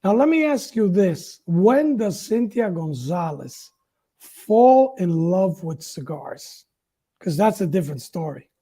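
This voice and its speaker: A man's voice: high voice, big pitch swings, slow, mellow, slightly rough. A man hosting a casual interview over a video call, chatting and putting questions to his guest. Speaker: interview host.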